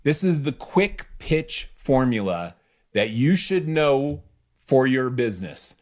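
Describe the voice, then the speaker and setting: A man's voice: mid-range voice, big pitch swings, slow pace. Emphatic man coaching on a podcast. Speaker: podcast host, business coach. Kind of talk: casual instructive podcast talk.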